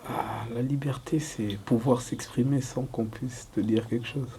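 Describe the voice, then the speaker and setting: A young man from Congo answering interview questions casually, loose and relaxed, with lots of fillers. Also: mid-range voice, unhurried, slight background noise. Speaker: rapper interviewee.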